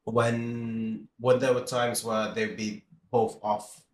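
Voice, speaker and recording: A man in his thirties, from the Philippines, talking as a podcast guest in a relaxed, rambling way with many restarts. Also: mid-range voice, slow pace, echoey room, slight background noise. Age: 30s. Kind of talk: casual interview chat.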